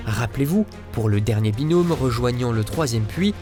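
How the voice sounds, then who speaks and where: A male host narrating a competition show in a scripted, suspense-building voice-over. His pitch sits mid-range, and music plays clearly underneath. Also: animated, moderate pace, warm tone. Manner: suspenseful, announcing.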